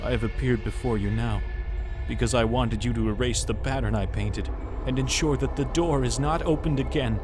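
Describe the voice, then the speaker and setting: A man voicing a doomed father turned monstrous, speaking in a solemn, measured way in an amateur manga dub. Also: medium pitch, over music, close to an everyday voice. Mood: grave.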